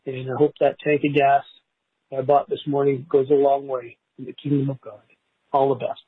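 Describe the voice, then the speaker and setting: A man in his sixties giving a heartfelt personal testimony in a voicemail, halting and pausing mid-sentence. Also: medium pitch, flat delivery, husky, no echo. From Canada. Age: 60s. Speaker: listener leaving a voicemail, school bus driver. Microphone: phone. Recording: tinny, narrow phone voicemail line.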